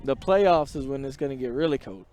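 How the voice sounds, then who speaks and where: A man doing color commentary at a high school football game outdoors, easygoing and laughing often. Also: lively intonation, a little fast, mellow.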